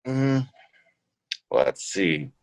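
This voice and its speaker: A man's voice: low-pitched, sing-song, drawn-out words, no room sound. A man speaking as an interview guest, casual and reflective, hesitantly thinking his answers through aloud. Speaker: podcast guest, show creator.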